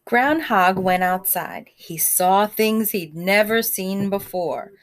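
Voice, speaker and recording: A woman reading a picture book aloud like a teacher, in simple child-directed speech, pausing to ask questions and explain words. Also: mid-range voice. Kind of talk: read-aloud storytime with asides and questions.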